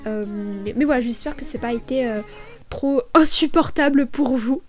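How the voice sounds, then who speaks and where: A woman's voice: high voice, moderate pace. A woman narrating a casual voice-over for her small business vlog, stuffy with a head cold, hesitant and stammering with many fillers.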